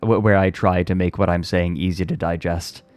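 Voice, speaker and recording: A man's voice: low voice, fairly even intonation, normal speed, darkish tone, dry recording. A young man chatting casually on a stream, often stammering and repeating his words. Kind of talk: casual chat.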